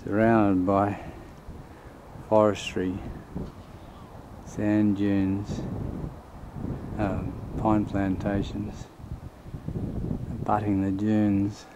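A man's voice: lowish voice, monotone, highs cut off. An older man, casually narrating a home video while filming on a windy beach. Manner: slow, matter-of-fact remarks while filming. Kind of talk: casual travel commentary. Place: outdoors on windy beach and dunes. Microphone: camcorder mic. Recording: windy outdoor camcorder audio.

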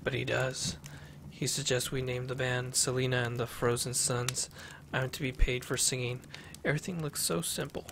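Young male streamer, flat even read-aloud delivery, headset mic, faint background hiss. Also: mid-range voice, normal speed, vocal fry. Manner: even, steady reading with occasional restarts. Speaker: gameplay streamer. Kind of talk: reading game text aloud while playing. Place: small room at home. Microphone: headset with desk mic.

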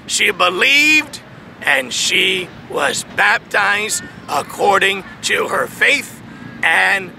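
Preacher, man in his thirties: high, gravelly voice, slow and emphatic, outdoors with audible traffic behind. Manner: emphatic, preaching with swells and drops, reads verses aloud. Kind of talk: outdoor sermon reading scripture. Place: large open field outdoors. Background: distant traffic noise.

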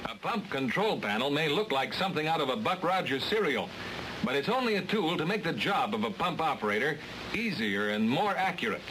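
A man's voice: on the high side, sing-song, a little fast. A man giving scripted, matter-of-fact instructional narration for a training film. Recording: hissy old film transfer.

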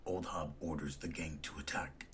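A man's voice: rumbling low, fairly even intonation, normal speed, a bit bright, husky. A man giving scripted, matter-of-fact descriptive narration.

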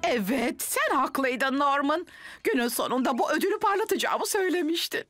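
A woman's voice: high voice, sing-song, normal speed. A woman voicing a fussy middle-aged mother in a cartoon, chiding and motherly. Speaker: voice actor in a cartoon dub. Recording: cartoon music behind the voice.

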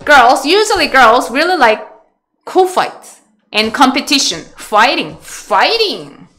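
A Korean woman in her thirties, a Go teacher answering chat questions on a webcam video call, chatty and halting in English with a Korean accent. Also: high-pitched, big pitch swings, a bit bright. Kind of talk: live online lecture Q&A. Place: small room indoors.

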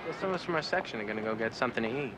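A man's voice: mid-range voice, animated, rapid-fire, lightly acted. Actor as an awkward young man, noisy outdoors. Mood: friendly, shy. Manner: casual, hesitant. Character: friendly, awkward young bandmate. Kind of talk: naturalistic TV drama acting. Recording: loud outdoor background noise.

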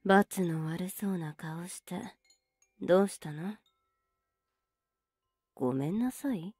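Tsundere older sister for anime ASMR, played by a woman: unhurried, mellow, scolding yet affectionate, intimate close mic. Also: on the low side, slightly rough, no echo, soft background music. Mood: teasing, fond. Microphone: very close, dry microphone.

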